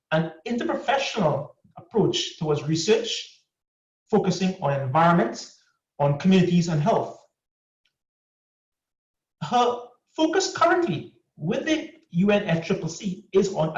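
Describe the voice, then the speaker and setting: A man hosting an online course session, giving a measured, formal introduction of a panelist over a video call. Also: high-pitched, sing-song. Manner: measured, formal delivery with drawn-out phrases. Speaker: course host. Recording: distant, echoey, muffled video call audio.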